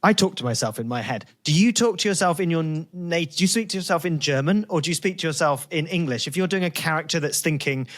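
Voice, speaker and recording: A man's voice: a little high, lively intonation, fast talker, hardly any pauses, a bit bright. A man co-hosting a casual interview, talking in an effusive, exclaiming way. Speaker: podcast co-host, interviewer.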